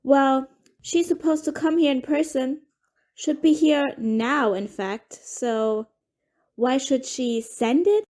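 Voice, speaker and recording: A woman's voice: sits high, slow, darkish tone. A woman playing a crusty, irritable, defiant mother, blunt and dismissive, in an audio play. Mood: wary. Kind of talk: amateur audio play acting.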